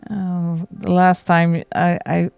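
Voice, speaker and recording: Dutch woman with a gravelly voice. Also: on the low side, flat delivery, slow pace, darkish tone, no echo, faint music behind. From Netherlands. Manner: chatty, laughs often, frequent restarts. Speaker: livestream host. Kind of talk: casual chat while colouring.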